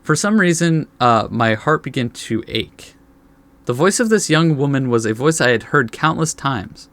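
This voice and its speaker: A man with a medium-pitched voice hosts a casual gaming video. He reads story text aloud and adds informal, laid-back reactions. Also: animated, normal speed, fairly bright tone.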